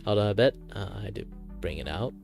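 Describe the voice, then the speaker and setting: A man's voice: on the low side, lively intonation, quick pace, gravelly, darkish tone, faint music behind. A man from Malaysia with a sore throat, streaming from a home setup into a close desk mic, chatting casually with many fillers and likes. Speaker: VTuber streamer.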